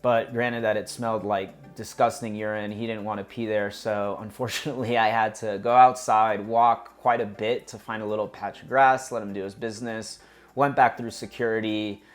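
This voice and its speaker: American male travel vlogger, low voice, casual and chatty, light music bed. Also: level tone, normal speed. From United States. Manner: chatty, direct to viewers, uses fillers. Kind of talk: casual flight review narration.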